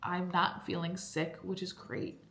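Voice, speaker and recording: A woman's voice: lowish voice, monotone, measured pace, fairly bright tone, roomy sound. A young woman chatting casually in a vlog about her reading, rambling with lots of fillers and sounding congested. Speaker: book vlogger. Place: cold basement room at home.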